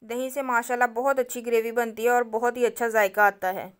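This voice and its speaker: Lady doing a cooking tutorial, smooth clear voice, kinda flat and even, calm how-to talk. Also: on the high side, a little fast. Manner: calm, instructive, addresses viewers directly. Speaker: cooking channel host. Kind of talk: step-by-step recipe narration.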